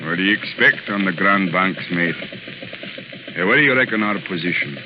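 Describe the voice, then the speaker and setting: Low-voiced, fatalistic sea captain. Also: male voice, expressive, moderate pace. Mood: grim. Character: gruff, fatalistic old-time fishing boat sea captain. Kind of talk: 1930s radio drama acting. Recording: muffled, noisy 1930s radio recording.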